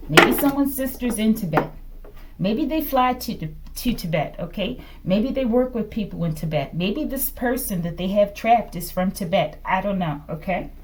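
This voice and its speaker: A woman's voice: medium pitch, expressive, moderate pace. A woman giving an improvised tarot reading, thinking aloud in short fragments and often checking in with a questioning tag. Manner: fragmented delivery, murmuring to self, often ending phrases with a questioning "okay?". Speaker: tarot reader, host.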